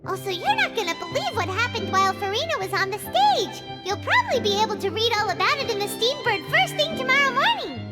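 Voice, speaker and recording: An actress voicing a small, excitable companion who chatters in a bubbly way over game music. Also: female voice, extremely high pitch, quick pace, hardly any pauses. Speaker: game character. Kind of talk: game voice acting. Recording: game audio with loud music.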